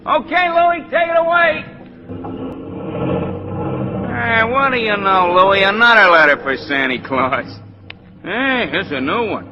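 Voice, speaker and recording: Excitable, high-pitched brash mailman. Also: unhurried, pauses often, over music. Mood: cheerful, amused. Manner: slangy, eager, wisecracking. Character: brash, excitable working-class male New York mail sorter. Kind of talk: 1940s radio acting. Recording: dull vintage 1940s radio recording.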